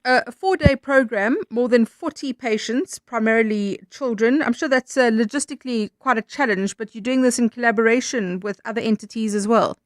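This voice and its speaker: A woman's voice: on the high side, normal speed, few pauses, no echo. A woman with a South African accent hosts a live radio news programme, introducing items and interviewing guests. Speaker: news show host. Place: radio studio. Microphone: close studio microphone.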